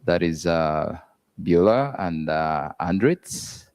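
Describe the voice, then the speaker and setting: A man's voice: low voice, lively intonation, slow pace, a little mellow, dry recording, clean studio sound. A man working as master of ceremonies at a trade expo, formal and hesitant as he calls up the sponsors. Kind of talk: emcee announcements. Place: event hall.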